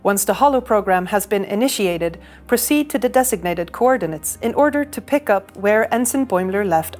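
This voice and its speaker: A woman's voice: mid-range voice, a little mellow, lightly acted. A woman playing a formal starship officer, giving a composed scripted sci-fi game briefing to a commander. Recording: music under the voice.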